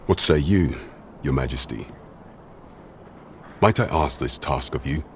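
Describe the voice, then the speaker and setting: An actor playing a grave, courteous royal loyalist in a fantasy video game, speaking in formal, measured, archaic courtly phrases. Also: male voice, very deep voice, a little hiss or hum. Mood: grave, cautious. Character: grave, courteous male royal loyalist plotting against the regent.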